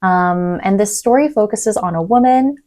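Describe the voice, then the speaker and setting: A young adult woman lecturer who grew up in East Africa, speaking thoughtfully in a podcast interview with frequent pauses and "um"s. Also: medium pitch. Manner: thoughtful, soft-spoken, many "um"s. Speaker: university lecturer.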